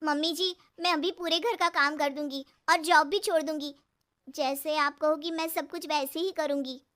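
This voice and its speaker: A woman's voice: high-pitched, fairly even intonation, normal speed, fairly bright tone, pitched above the performer's own voice. A woman voicing a timid, anxious young bride in a cartoon story, pleading and fearful. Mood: timid, eager.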